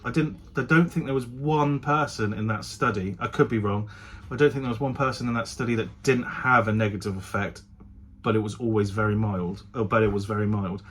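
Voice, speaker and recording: A man's voice: on the low side, fairly even intonation, a little fast, slight background noise. A man vlogging in a casual chatty style, often hedging and correcting himself as he goes. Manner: self-correcting, hedging his claims. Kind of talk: casual talk to camera.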